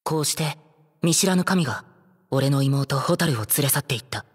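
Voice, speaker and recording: An actor playing a young, determined, brooding traveler from another world in a Japanese anime game, with a breathy voice. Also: male voice, mid-range voice, animated, moderate pace. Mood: solemn, resolute. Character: young male otherworldly traveler, brooding, determined, searching for sister. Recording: music under the voice.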